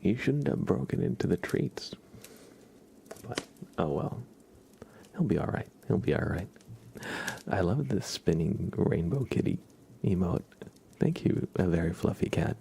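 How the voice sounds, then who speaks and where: A man hosting an ASMR livestream, chatting softly and gently and often slipping into whispers. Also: deep voice, expressive, a little slow, creaky, pauses often, husky. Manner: gentle, hushed, drifting into whispers. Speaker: livestream host.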